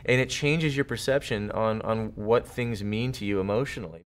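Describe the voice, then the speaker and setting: A man's voice: on the low side, moderate pace. A man in his thirties explaining his thoughts earnestly and at length in a panel interview. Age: 30s. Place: indoor studio set.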